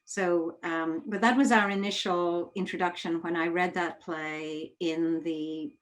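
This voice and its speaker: A woman with a slightly low voice talks in a conversational interview setting. She is thoughtful and tends to restart and rephrase her sentences as she explains. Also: steady tone, measured pace. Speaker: interviewer, theatre artistic director.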